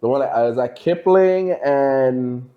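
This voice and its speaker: A man's voice: medium pitch, a little slow, dull audio. A man of Trinidadian background, a podcast guest, telling stories in a laid-back way. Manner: laid-back storytelling, laughs easily. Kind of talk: casual podcast chat.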